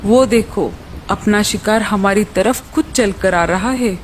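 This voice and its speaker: Woman as a greedy villain eagle, male, taunting, medium pitch, faint noise, kids' cartoon. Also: takes it slowly, natural voice. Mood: gloating. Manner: threatening, taunting. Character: menacing, gluttonous male eagle. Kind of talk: Hindi children's cartoon story voice acting.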